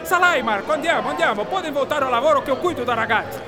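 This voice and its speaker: A man playing an excitable, kindly Renaissance master artist in a radio drama, effusive, with an Italian accent and Italian words mixed into his speech. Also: very high-pitched, fast, crowd noise. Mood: brisk, reassuring. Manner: effusive, mixes Italian into Portuguese. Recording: loud music and street bustle behind.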